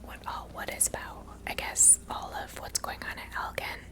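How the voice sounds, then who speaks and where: A woman softly whispering ASMR commentary as she plays a video game, gently musing aloud. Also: normal speed. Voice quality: soft breathy whisper. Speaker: host playing a video game.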